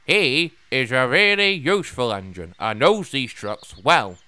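A man playing a stern railway controller in a children's story, booming and lecturing. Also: expressive, measured pace, over music, lightly acted. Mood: admonishing. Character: stern male railway controller, firm and admonishing.

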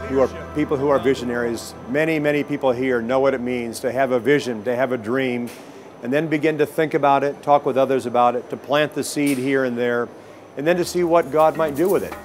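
A man in an interview speaking in a reflective, unhurried way. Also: medium pitch, steady tone. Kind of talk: interview comment. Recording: interview with music behind.

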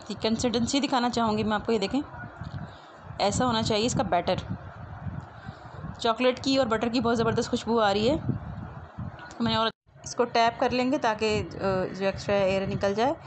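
Casting: female home cook, fairly high voice, casual recipe narration with some background noise. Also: steady tone, moderate pace, pauses often. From Pakistan. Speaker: home cooking show host. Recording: clearly noisy homemade voice-over recording.

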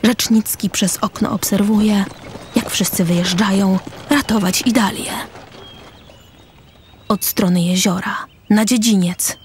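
A woman's voice: mid-range voice, fairly even intonation, a little slow, long pauses, a little hiss or hum. A woman reading scripted present-tense narration for a radio play. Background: music.

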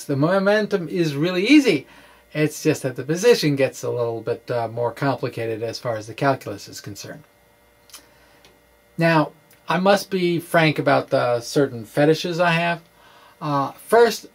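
Higher-pitched man explaining physics haltingly. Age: middle-aged. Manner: halting, wry and quirky, with playful asides. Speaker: physics blogger and presenter. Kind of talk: informal explanatory physics monologue to camera. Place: small indoor room with bookshelves. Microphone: microphone on a stand in front of him.

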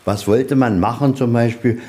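A man's voice: mid-range voice, fairly even intonation, normal speed, dark tone. A man, middle-aged, giving an informal, matter-of-fact guided tour in a colloquial way, often posing questions and answering them. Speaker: museum guide. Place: inside a museum, walking tour.

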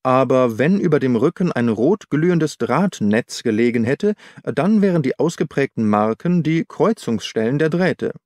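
A man's voice: mid-range voice, lively intonation, normal speed, hardly any pauses, darkish tone, no echo, clean studio sound, natural voice. Man acting eager, probing police inspector. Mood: impressed. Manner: speculating, pressing with questions. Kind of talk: audiobook character dialogue.

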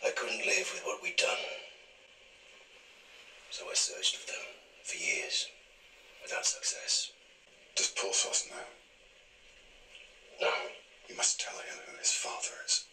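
An actor playing a grave, guilt-haunted soldier in a television period drama, solemn and intense. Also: male voice, slow pace, long pauses, sharp tone, slight background noise. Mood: grave, guilty. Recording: distant, roomy playback of television audio.

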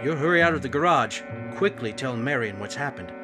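A man's voice: mid-range voice, lively intonation, fairly quick, music in the background, lightly acted. A man playing a sinister, taunting narrator of an old-time radio mystery, addressing the listener in an ominous, needling way. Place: indoors at home, video call setup.

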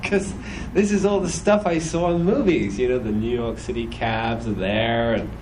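A man's voice: mid-range voice, a little mellow, low-quality recording. A middle-voiced British man talks through a Sunday sermon in an easy, joking way, his accent worn down by years in America. He leans on plenty of "you know"s and speaks into a stand microphone on a church stage, with a faint hiss behind him. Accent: British, softened by years in America. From British, raised in several countries. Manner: conversational and good-humored, many "you know"s, puts on quick voices. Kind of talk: Sunday sermon with personal testimony.

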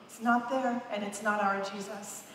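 A woman's voice: medium pitch, steady tone, normal speed, fairly bright tone. A woman in her fifties, a pastor preaching a sermon on a large church stage, emphatic and repeating phrases for emphasis. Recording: echoey hall, heard from a distance.

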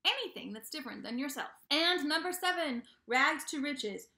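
Young female vlogger, bright and bubbly, casual announcement to camera, recorded in an echoey bedroom. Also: high voice, moderate pace. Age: young adult. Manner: bubbly, animated, playful. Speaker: YouTube host of a book channel. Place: small bedroom indoors. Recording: echoey untreated room.

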